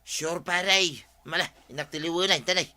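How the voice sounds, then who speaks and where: A man's voice: a little high, normal speed, sharp tone. A man with an Ilocano accent playing a grumbling, scheming village husband in a comedy radio drama, complaining and exclaiming. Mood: excited.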